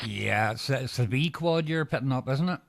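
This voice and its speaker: A man's voice: medium pitch, expressive, moderate pace, vocal fry. A middle-aged man with a Northern Irish accent, chatting casually and matily as a radio operator. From Northern Ireland. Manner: matey, colloquial. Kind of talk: casual radio contact chat.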